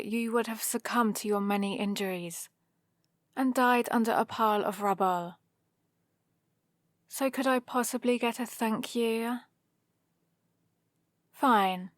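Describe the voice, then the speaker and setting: A woman's voice: medium pitch, dry recording, lightly acted. A British woman playing a haughty supervillain in an ASMR roleplay, dry, sardonic and commanding, with a grudgingly caring streak, close to the microphone. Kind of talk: ASMR roleplay, acted monologue to the listener.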